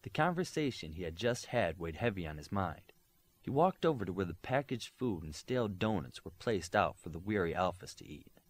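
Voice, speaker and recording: A man's voice: sits low, very animated, normal speed. A man narrating a work of fiction as an audiobook reader, in scripted storytelling style.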